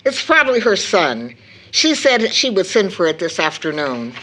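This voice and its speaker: A woman's voice: medium pitch, sing-song, normal speed, fairly bright tone, a little hiss or hum, rough recording. A middle-aged woman acting a tired, stern but fond mother in a period audio drama, speaking with proper, precise, scolding phrasing. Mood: weary, businesslike.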